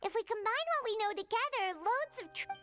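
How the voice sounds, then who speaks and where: A woman voicing a tiny, eager childlike fairy companion in a video game, with a squeaky, animated delivery. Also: brisk, heavily acted. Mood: earnest explaining. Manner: animated, explaining earnestly. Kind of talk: scripted video game dialogue. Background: game music.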